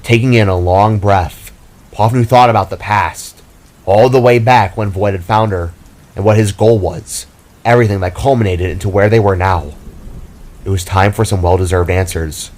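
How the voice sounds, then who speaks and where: Low-voiced man narrating a fantasy story. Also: normal speed, a little mellow. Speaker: narrator and writer. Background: music.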